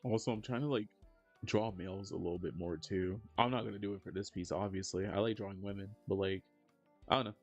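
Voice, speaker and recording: A man in his thirties chats with his stream audience while he draws, rambling and filling his sentences with "like." His voice leaps upward when he reacts to the chat. The audio is a bit dull, with faint music playing underneath. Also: fast. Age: 30s. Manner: rambling, many "like" fillers, lively jumps in pitch when reacting to chat. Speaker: streamer and digital artist. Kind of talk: casual livestream chat while drawing.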